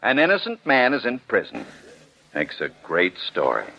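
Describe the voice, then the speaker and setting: Stern commissioner, animated old-radio delivery. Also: male voice, moderate pace, creaky, heavily acted. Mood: firm, sardonic. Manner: sarcastic, high-handed, rhetorical questioning. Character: stern, skeptical male commissioner, unyielding defender of jury verdicts. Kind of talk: 1940s radio drama acting. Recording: muffled vintage 1940s radio broadcast.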